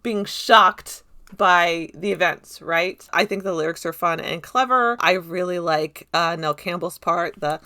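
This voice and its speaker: A woman reviewing a movie in an unscripted, conversational style. Her voice sits a little low but sounds bright and forward. She rambles along with enthusiasm. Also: unhurried. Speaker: video essayist reviewing a film. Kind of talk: casual unscripted commentary.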